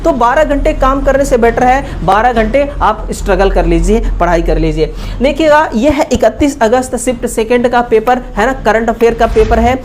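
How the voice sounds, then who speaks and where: Indian woman coaching teacher, higher-pitched voice, explains exam answers, checks in often with tag questions. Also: moderate pace, a little mellow, slight background noise. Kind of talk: explanatory exam-review lesson.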